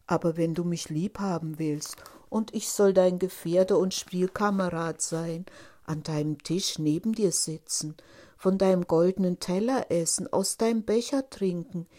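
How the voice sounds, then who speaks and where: Woman voicing an insistent talking frog, slow-spoken. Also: lowish voice, mellow, natural voice. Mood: coaxing, bargaining. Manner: deliberate, insistent. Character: male talking frog, insistent, bargaining storybook creature.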